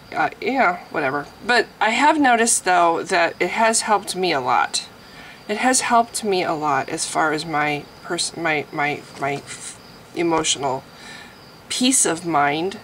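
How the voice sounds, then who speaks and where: A woman vlogging in a casual, rambling way, chatty and self-deprecating, who keeps clearing a scratchy throat. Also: fairly low pitch, a bit bright. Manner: chatty, self-deprecating, frequent laughs and restarts. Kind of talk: casual rambling chat to camera.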